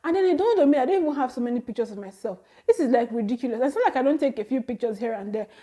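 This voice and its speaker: A Nigerian woman in her thirties talking casually to camera, chatty and quick to laugh, with animated exclamations. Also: on the high side. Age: 30s. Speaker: vlogger.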